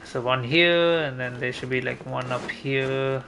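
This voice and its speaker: Male game streamer, bright tone, casual rambling think-aloud commentary with mild swearing, faint background noise. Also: medium pitch, fairly even intonation, measured pace, vocal fry. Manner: rambling, self-talking, mildly sweary.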